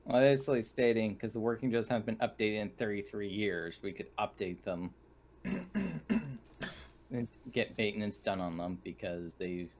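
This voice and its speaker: A man's voice: lowish voice, animated, unhurried, a little mellow. A man talking casually as a player in a tabletop roleplaying game, with faint noise on his voice-chat recording.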